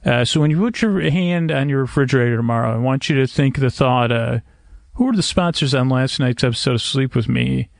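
A man hosting a sleep podcast in a halting, digressive, meandering monologue, often interrupting himself and trailing off, with a gravelly creak to his voice. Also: mid-range voice, no echo. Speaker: podcast host. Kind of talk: rambling, meandering bedtime monologue to help listeners sleep.

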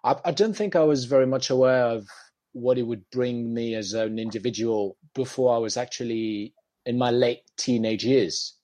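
A man's voice: medium pitch, fairly even intonation, moderate pace, a little mellow. A young French man, a guest actor in a podcast interview, talking in a loose, reflective way with many fillers. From France, Paris.

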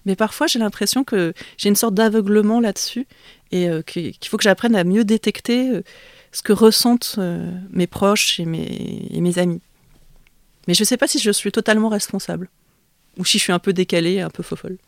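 A middle-aged woman, a native Vietnamese speaker, talks as an interview guest. She pauses, restarts and searches for words in a reflective way. The sound is close and dry, with almost no room. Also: medium pitch, no room sound. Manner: thoughtful, hesitant, many restarts. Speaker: author guest. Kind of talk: conversational interview.

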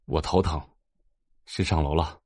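A man's voice: a bass voice, fairly even intonation, unhurried, darkish tone, slightly rough, no echo, clean studio sound, heavily acted. A man playing a weary, terse character in a family audio drama, with understated delivery. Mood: tired, flat. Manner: understated, brief replies.

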